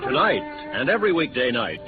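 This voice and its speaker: A man's voice: medium pitch, very animated, brisk. A man announcing a 1950s radio show in a grand, projected showman style. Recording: muffled old broadcast over music.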